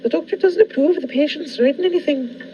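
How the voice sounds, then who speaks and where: Woman acting firm brisk nurse, high voice, 1940s radio drama, very noisy recording. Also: highs cut off, close to an everyday voice. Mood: firm, placating.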